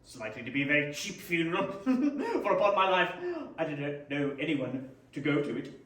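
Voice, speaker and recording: A man's voice: a little high, very animated, normal speed, a bit bright. A man playing a callous, jocular businessman in a readers theater drama, recorded at a distance in an echoey room. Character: callous, jocular businessman joking about a death. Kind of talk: readers theater stage acting.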